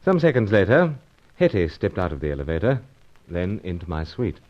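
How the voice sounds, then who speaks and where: A man's voice: low-pitched, big pitch swings, a little fast. A man playing a suave, evasive British secret agent with a wry, urbane manner, in old-time radio serial style. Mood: measured, narrating. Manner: hesitant, sidestepping, urbane, wry. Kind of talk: old-time radio serial acting with first-person narration. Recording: dull, band-limited vintage radio recording.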